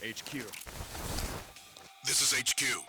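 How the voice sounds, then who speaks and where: An actor playing a brisk male military headquarters operator in video game radio chatter, clipped and procedural. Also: medium pitch, fairly even intonation, close to an everyday voice. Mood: brisk, official. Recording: very loud music over the voice.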